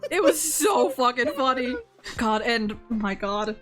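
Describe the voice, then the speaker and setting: High-voiced woman chatting, freely swearing. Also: expressive, measured pace, soft background music. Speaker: host. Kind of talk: casual video game discussion.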